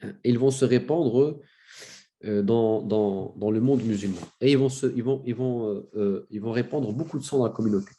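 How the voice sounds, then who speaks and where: A man with a cold giving a spoken lecture, telling a story with many fillers and restarts and rising in pitch for emphasis. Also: moderate pace, mellow. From France. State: has a cold. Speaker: lecturer on religious history.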